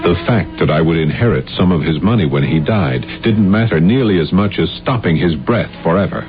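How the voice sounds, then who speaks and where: An actor playing a bitter, beaten playwright of forty in a 1970s radio drama, confessing in a brooding, intense way. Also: male voice, low-pitched, expressive, a little fast, over music. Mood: grim resolve. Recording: dull 1970s radio recording.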